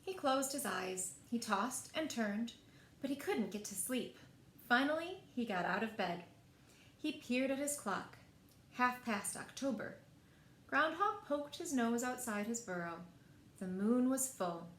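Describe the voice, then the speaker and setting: Female children's storyteller, lively and expressive picture-book read-aloud, roomy sound with faint noise. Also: on the high side, measured pace. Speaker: library storyteller. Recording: echoey room, faint background noise.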